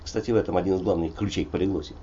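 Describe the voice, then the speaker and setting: A man in his sixties from Russia, a poet talking in a relaxed interview, rambling and digressive with many hesitations. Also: low voice, racing, husky, dull audio. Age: 60s. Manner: digressive, frequent hesitations and "vot" fillers. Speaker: poet and polyglot guest. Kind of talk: conversational interview, discursive storytelling.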